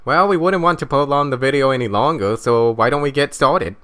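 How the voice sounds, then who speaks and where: Measured male reviewer, medium pitch. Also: a bit bright. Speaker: co-host reviewer. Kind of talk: conversational scripted review commentary.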